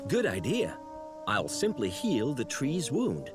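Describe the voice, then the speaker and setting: A man's voice: medium pitch, huge pitch swings, slow pace, music in the background. A young male actor voicing a curious, polite apprentice angel in a game cutscene. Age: young adult.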